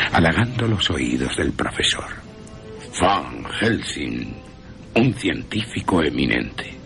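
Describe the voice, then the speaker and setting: A man with an accent from Spain narrating a horror story for radio in a theatrical, suspenseful way with long pauses. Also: low voice, vocal fry, fairly bright tone. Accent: Spanish from Spain. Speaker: radio drama narrator and presenter. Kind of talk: dramatic scripted horror narration.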